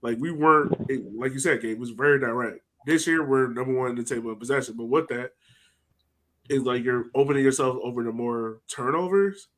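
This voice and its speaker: A man's voice: mid-range voice, fairly quick, a bit bright, poor audio. A man co-hosting a soccer podcast, talking casually and hesitantly, with lots of "like". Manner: hesitant, fills speech with "like". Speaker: podcast co-host.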